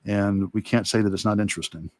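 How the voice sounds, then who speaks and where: A man giving a casual, reflective demo talk as an art instructor while drawing, with halting, rambling explanations. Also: on the low side, steady tone, darkish tone, dry recording, clean studio sound. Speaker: illustrator and course instructor demonstrating.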